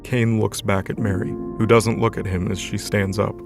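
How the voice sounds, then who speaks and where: A man narrates an audio drama in a low, gravelly voice. His scripted storytelling stays fairly even in pitch, with music playing clearly underneath. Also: normal speed.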